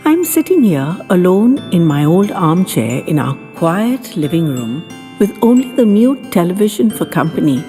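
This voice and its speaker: A woman's voice: lowish voice, mellow. A woman narrating a scripted first-person story as an elderly widow reminiscing, in a chatty, wry manner. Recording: long reverberant hall sound, faint music.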